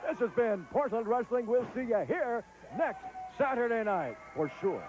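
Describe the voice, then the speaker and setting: A man's voice: extremely high pitch, expressive, normal speed, lo-fi sound. A male wrestling TV host, commentating ringside and hard-selling upcoming events. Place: arena commentary booth.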